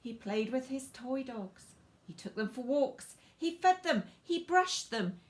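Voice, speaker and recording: A woman reading her picture book aloud for children in an expressive, emphatic way, with asides to the young listeners. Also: sits high, measured pace. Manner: addresses young listeners directly. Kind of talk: children's storybook read-aloud with asides to viewers.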